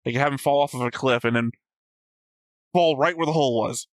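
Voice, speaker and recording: A man's voice: mid-range voice, brisk, no echo, clean studio sound. A man co-hosting a reaction show, chatting casually about a series in an argumentative, wryly joking way. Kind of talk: casual discussion of an animated series.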